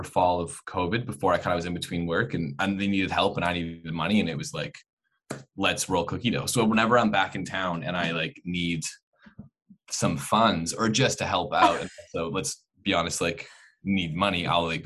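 Male podcast guest, Canadian accent, low register, enthusiastic and halting conversational delivery. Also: fairly even intonation, fairly bright tone. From Alberta, Canada. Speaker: interview guest, actor. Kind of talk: casual podcast chat.

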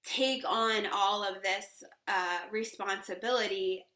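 A woman with a bright, clear voice talks as a guest on an interview show. She is effusive and laughs often while she speaks about spiritual matters. Her voice carries a roomy echo from the space she was recorded in. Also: mid-range voice, fairly even intonation, slow. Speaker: yoga teacher and podcast guest. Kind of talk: casual interview chat.

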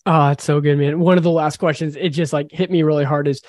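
A man's voice: on the high side, steady tone, fast, darkish tone, dry recording. Enthusiastic male podcast host, dry sound. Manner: enthusiastic, effusive praise. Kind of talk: casual interview talk.

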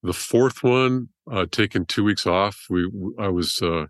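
Deep-voiced man telling his story. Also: unhurried, dry recording, studio quality. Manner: rambling, restarts often. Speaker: trauma surgeon guest. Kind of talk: casual interview storytelling.

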